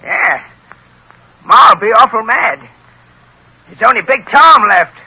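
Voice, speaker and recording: Creaky, shrill, dim-witted hunchback. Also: male voice, animated, pushed and bright, exaggerated voice, rough recording. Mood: slow, brooding. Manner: slow-witted, repeats himself. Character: dim-witted eerie hunchback dog keeper, childishly gleeful. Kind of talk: old-time radio acting. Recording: vintage radio drama recording.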